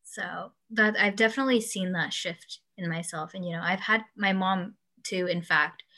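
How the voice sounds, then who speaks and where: A woman's voice: fairly low pitch, normal speed, bright, forceful tone. A young woman whose first language is Urdu, chatting casually as a podcast host and often quoting people. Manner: chatty, laughs often, quotes people in acted voices. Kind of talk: casual chat.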